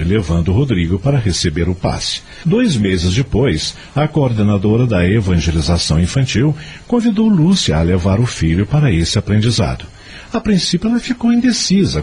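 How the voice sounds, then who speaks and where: A man's voice: on the low side, darkish tone. A man with a Brazilian accent narrating a scripted audio drama as a storyteller. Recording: music behind the voice.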